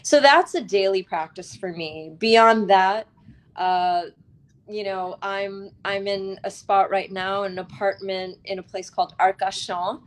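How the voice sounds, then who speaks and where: A woman being interviewed as an author, talking at a middle pitch. She explains her ideas earnestly and reflectively, like a teacher of spiritual practice, and punctuates them with small tag questions. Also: fairly even intonation, unhurried. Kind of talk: conversational interview.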